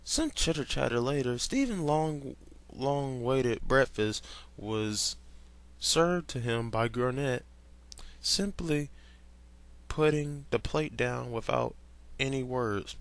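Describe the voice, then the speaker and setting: Male hobby story reader, mid-range voice, halting delivery, informal asides between narrated lines. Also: medium pitch, animated. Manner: halting, stumbles over words. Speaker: fan fiction reader.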